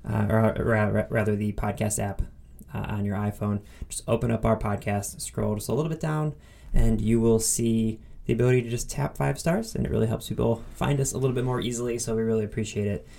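Creaky, low-voiced man hosting a podcast. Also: fairly even intonation, brisk, dark tone. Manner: enthusiastic, informal. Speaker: podcast host. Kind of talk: casual podcast intro and conversational interview.

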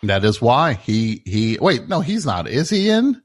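A man's voice: mid-range voice, very animated, unhurried, husky. A man hosting a comedic call-in radio show, teasing callers and repeating words when incredulous.

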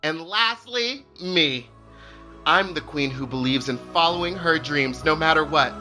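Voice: medium pitch, measured pace, natural voice. An actor playing an earnest, melodramatic drag queen contestant in a campy comedic radio play, speaking with breathless theatrical sincerity. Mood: sincere, proud. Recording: music behind the voice.

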